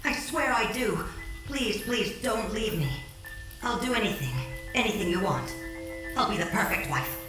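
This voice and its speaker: A woman's voice: medium pitch, animated, moderate pace, natural voice. An actress playing a desperate young wife who frantically begs her husband not to leave, in an audio drama. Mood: pleading. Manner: pleading, breathless, frantic. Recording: echoey, distant, with music underneath.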